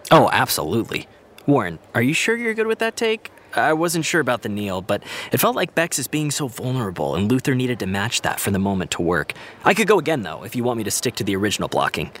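A friendly young television star in an audio drama. His medium-pitched voice moves around a lot. He comes across as eager, earnest and upbeat. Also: very animated, quick pace, fairly bright tone, a little hiss or hum. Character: eager, friendly male actor starring in vampire show. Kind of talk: naturalistic audio drama acting.

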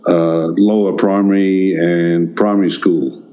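A man's voice: low voice, flat delivery, measured pace, warm tone, highs cut off. An older man born in the Netherlands whose first language is Dutch, answering interview questions hesitantly, with many restarts and fillers. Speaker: artist guest.

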